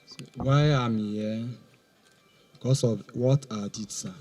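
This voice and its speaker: Deferential Nigerian man, mellow voice. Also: mid-range voice, slow pace, faint music behind. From Nasarawa State, Nigeria. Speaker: suspect being questioned. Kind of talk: answering questions in halting English. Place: outdoors in a police compound. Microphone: handheld microphone.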